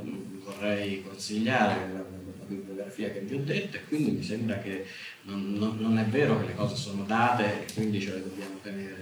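A man speaking at a conference in a spontaneous academic debate, arguing his point with hesitations and false starts. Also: lowish voice. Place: reverberant conference room. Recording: very echoey room, faint noise.

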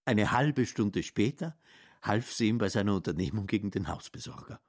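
A man's voice: fairly low pitch, lively intonation, normal speed, no room sound, studio quality. A man reading scripted literary narration in a radio play.

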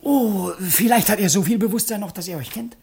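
A man playing a sly, fawning male servant in a theatrical German radio play, with a husky voice and a coaxing, conspiratorial manner. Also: sits high, brisk, darkish tone, exaggerated voice. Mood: placating. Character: sly, fawning male servant scheming among greedy heirs.

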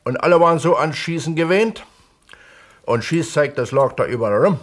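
An actor playing a modest, middle-aged villager who talks plainly and haltingly in a naturalistic radio play. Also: male voice, on the high side, expressive. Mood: hesitant, earnest. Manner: halting, plain-spoken, hesitant. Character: modest, thoughtful resettled villager who wrote a novel. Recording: 1970s East German studio radio drama.

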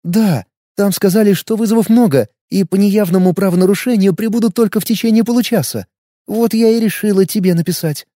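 Male narrator as anxious young woman: high, dark-toned, jittery delivery, dry close sound. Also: no room sound, lightly acted. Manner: hurried, nervous. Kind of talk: audiobook character voice.

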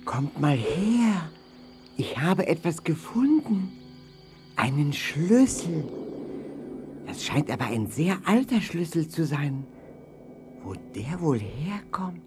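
A man's voice: on the high side, big pitch swings, slow, long pauses, a little mellow, faint music behind. A man playing a thoughtful, curious doctor in a children's audio play, pondering aloud with musing hums. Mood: pondering, intrigued. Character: thoughtful, curious male doctor, leader of animal friends.

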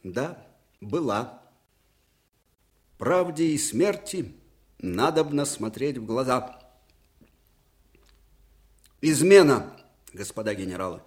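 Old general in a radio play, slow weighty speech that swings from hushed to thundering, fiery and anguished. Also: male voice, medium pitch, expressive. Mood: grave, bitter. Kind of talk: theatrical radio drama acting.

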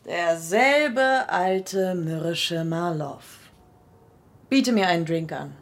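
A woman's voice: fairly low pitch, expressive, slow pace, slight background noise. An actress playing a cool, insistent woman client in a hardboiled radio drama, terse and unflappable.

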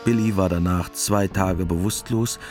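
Low-voiced man narrating a radio drama. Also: fairly even intonation, normal speed. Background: music.

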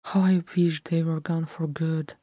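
A woman's voice: low-pitched, steady tone, unhurried, mellow, no echo, studio quality. A woman voicing a firm, loving dwarf queen speaking to her grown son in a fantasy story, with a stately manner. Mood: weary, grave. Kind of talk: fantasy audiobook character voice.

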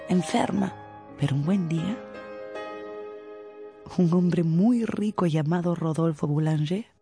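A woman giving expressive, scripted storytelling narration for a radio drama. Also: on the low side, breathy edge.

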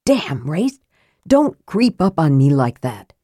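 A woman's voice: low voice, big pitch swings, slow, mellow, no echo, clean studio sound, heavily acted. A woman voicing a hearty, plain-spoken male warrior in an audiobook, blunt and urgent. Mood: startled, urgent. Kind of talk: audiobook character acting.

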